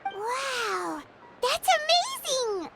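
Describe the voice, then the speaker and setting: A woman voicing a small, childlike fairy companion in a video game, excitable, curious and exclamatory, with music behind. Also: very high voice, drawn-out words, exaggerated voice. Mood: amazed. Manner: refers to self in third person, exclamatory.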